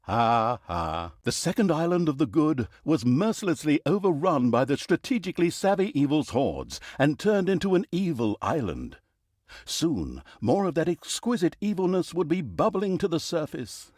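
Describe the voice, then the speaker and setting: A man's voice: fairly high pitch, big pitch swings, moderate pace, a little mellow, no echo, clean studio sound. A man playing a snarky, self-aware storyteller in a fantasy video game, narrating with pompous, mock-grandiose flourish and wry asides. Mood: wry, mock-grand.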